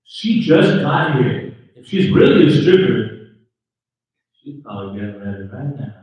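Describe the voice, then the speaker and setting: A man's voice: mid-range voice, sing-song, unhurried, darkish tone. Echoey, reluctant, exasperated friend acted by a man. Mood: cautious. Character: Jared, reluctant, exasperated cautious male friend. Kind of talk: live table read of a short film script. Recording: echoey room, distant mic, dull sound.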